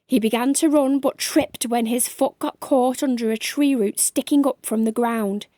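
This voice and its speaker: A woman's voice: on the high side, moderate pace, mellow, no echo, clean studio sound. A woman storyteller reading a children's bedtime story aloud.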